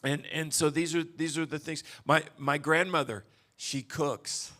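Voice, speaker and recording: Folksy, chuckling pastor from Texas. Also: male voice, a little high, steady tone, pushed and bright. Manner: folksy, chuckling, halting restarts. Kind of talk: sermon with live interpreter. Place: church auditorium.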